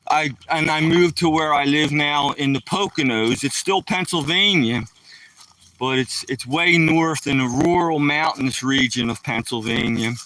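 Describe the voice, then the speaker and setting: A man's voice: a little high, measured pace, bright, forceful tone, distant mic, slight background noise, low-quality recording. A middle-aged man from Philadelphia with a Philadelphia accent, chatting casually to viewers on a live stream while walking by a lake. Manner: rambling, talks to viewers directly. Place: outdoors by a rural lake. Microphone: phone held while walking.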